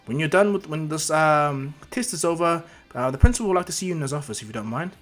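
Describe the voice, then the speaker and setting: A man's voice: a little high, animated, fast, fairly bright tone, soft background music. A man voicing an easygoing exam announcer who addresses kids in an emphatic, casual way in a storytelling. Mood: polite.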